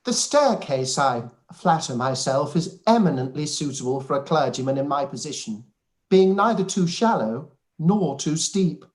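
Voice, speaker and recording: A man's voice: on the high side, sing-song, moderate pace. A man playing a pompous, obsequious clergyman in a radio play, wordy and effusive. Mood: fawning, proud. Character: pompous, fawning male clergyman cousin, self-satisfied and obsequious. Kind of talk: radio play live read.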